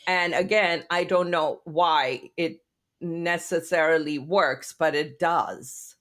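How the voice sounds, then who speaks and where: A woman's voice: low voice, slow pace. A woman in her fifties from India, telling stories animatedly as a guest therapist on a casual podcast. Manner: animated storyteller, chatty and expressive.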